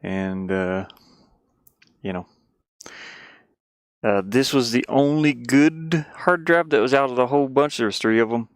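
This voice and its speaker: A man's voice: mid-range voice, unhurried, long pauses, smooth, no echo, studio quality. A man streaming casually, rambling and thinking aloud as he goes. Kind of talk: casual live stream chat. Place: inside a van he lives in.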